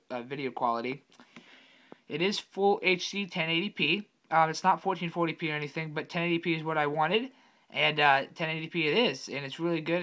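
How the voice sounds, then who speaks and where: A young man with a fairly high voice, a little raspy from being sick. He rambles through a casual unboxing at his desk, filling pauses and correcting himself often. He is recorded on a camera mic. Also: bright, forceful tone. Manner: rambling, many fillers and self-corrections. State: slightly raspy from illness. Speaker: tech unboxing vlogger. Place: home room at a desk.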